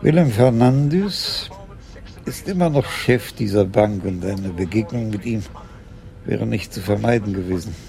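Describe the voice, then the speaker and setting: A man's voice: fairly low pitch, a little slow, creaky, dark tone. A man acting a mild, wry older police commissioner talking with his wife in a German radio drama.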